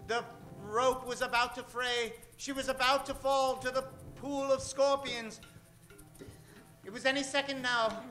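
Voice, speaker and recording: A male host narrates a radio serial spoof. His voice is very high, bright and forceful, with theatrical mock-seriousness and music in the recording. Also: fairly even intonation, a little slow.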